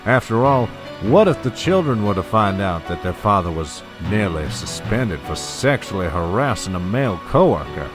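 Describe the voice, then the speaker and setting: A man playing an older, scheming oil tycoon in an audio drama, blackmailing with mock politeness and quiet menace. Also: lowish voice, big pitch swings, normal speed. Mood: smug menace. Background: music.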